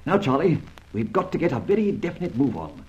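An actor playing a suave, flippant gentleman adventurer in an old-time radio drama, breezy and polished in his phrasing. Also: fairly high pitch, huge pitch swings, fairly quick, dull audio. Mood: brisk, relieved. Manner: breezy, wry, polished wording.

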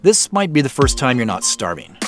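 An uneasy, polite man, played by an actor in a naturalistic audio drama. His mid-pitched voice moves up and down freely, though his delivery stays understated and a little hesitant. Faint music sits behind him. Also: sing-song, brisk, natural voice. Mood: casual, friendly.

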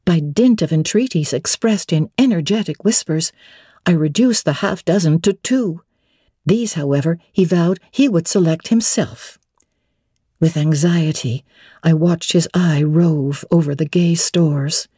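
A woman narrating classic literature as a dramatic audiobook reading, measured, expressive and theatrical. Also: low-pitched, husky, no room sound, clean studio sound.

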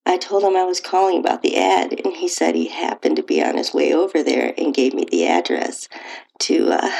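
Low-pitched, creaky-voiced woman storyteller. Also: a little mellow, rough recording. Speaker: podcast host reading own stories. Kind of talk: intimate first-person erotic story narration.

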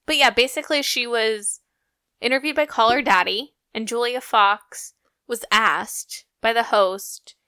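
A woman's voice: fairly high pitch, unhurried, bright, forceful tone, clear, resonant tone, no echo, clean studio sound. A young woman co-hosting a podcast in casual, gushing chat, laughing often. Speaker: podcast co-host.

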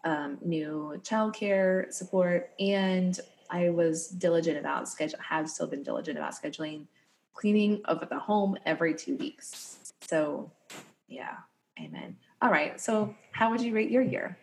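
A woman in her thirties with a lowish, scratchy, slightly froggy voice that sounds tired. She chats in an upbeat, open way as a podcast co-host. A faint hum sits under the recording. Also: measured pace, gravelly. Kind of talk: casual podcast chat.

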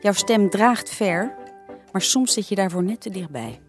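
An actress playing an officious, easily flustered woman editor-in-chief in a Dutch radio comedy, speaking in a formal, halting, bureaucratic way. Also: medium pitch, expressive, over music, natural voice.

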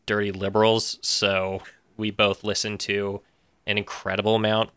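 A man's voice: fairly low pitch, completely flat delivery, moderate pace, fairly bright tone, clear, resonant tone, no echo. A wry, self-deprecating man chatting casually as a guest in a podcast interview. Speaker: podcast guest, show co-creator.

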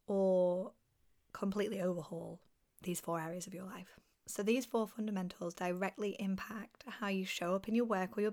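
Husky-voiced woman hosting a podcast. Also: medium pitch. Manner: encouraging, motivational. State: losing her voice. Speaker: podcast host. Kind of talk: self-help podcast monologue.

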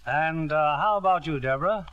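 A man's voice: on the high side, normal speed, fairly bright tone, smooth, muffled recording. A man hosting a 1950s radio show, chatting with guests in a cordial, scripted way. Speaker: host. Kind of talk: 1950s radio host banter.

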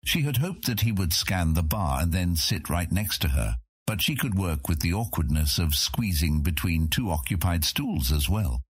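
Deep, husky male audiobook narrator. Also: animated, moderate pace, dry recording, studio quality. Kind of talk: scripted fantasy narration.

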